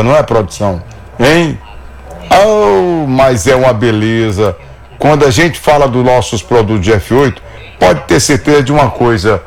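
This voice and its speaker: A man hosting a live show, pitching a product in a folksy, chatty, sing-song patter. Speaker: show host.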